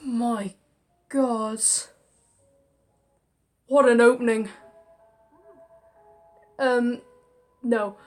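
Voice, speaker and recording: A woman hosting a gaming video, reading visual novel narration aloud in an expressive, theatrical way. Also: a little high, drawn-out words, long pauses. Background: game music.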